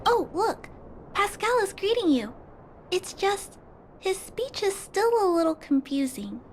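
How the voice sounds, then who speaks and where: A kind, earnest young girl who looks after robots speaks in a very high, light voice. She is polite and gentle even when she disagrees. Game music plays clearly behind her. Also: measured pace, exaggerated voice.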